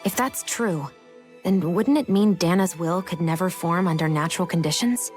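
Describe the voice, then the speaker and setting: A woman playing a serious, cautious young adventurer in a video game, speaking in a measured, concerned way. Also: lowish voice, a little mellow, faint music behind, close to an everyday voice. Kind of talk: video game cutscene acting.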